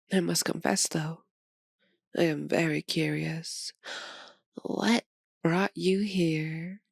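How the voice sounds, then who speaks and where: Woman acting a slow, sadistic, centuries-old vampire. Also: fairly low pitch, creaky, darkish tone, no room sound, clean studio sound. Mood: coy, curious. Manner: theatrical, condescending, drawn-out menacing delivery. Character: centuries-old sadistic female vampire, imprisoned, dominant, mocking. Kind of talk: ASMR roleplay, dramatic monologue.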